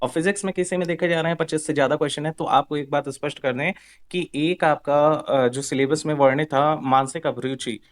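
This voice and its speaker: A man's voice: a little high, steady tone, brisk. A man presenting an informal explanatory update for exam candidates, repeating himself and mixing Hindi with English terms.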